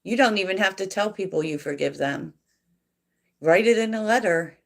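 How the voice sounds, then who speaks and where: A woman hosting a tarot livestream, chatting softly and reflectively with viewers and laughing often. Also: mid-range voice, lively intonation, normal speed, creaky. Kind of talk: casual livestream chat answering viewers.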